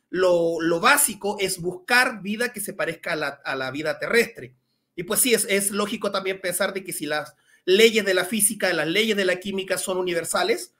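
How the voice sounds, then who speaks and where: A man in his thirties from Chile, with a Chilean accent mixed with Mexican Spanish, casually explaining biology in a live Q&A over a headset at home, with a relaxed manner and many filler words. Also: sits high, moderate pace. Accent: Chilean with some Mexican Spanish. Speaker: science communicator guest. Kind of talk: casual Q&A talk on a video call. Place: small room at home. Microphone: headset on a video call.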